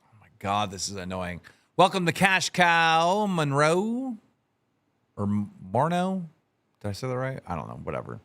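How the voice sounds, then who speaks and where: A man's voice: mid-range voice, wildly swooping pitch, moderate pace, fairly bright tone, a little hiss or hum. A man hosting a livestream, casual and bossy, giving orders to his co-hosts. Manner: bossy and impatient. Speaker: livestream host.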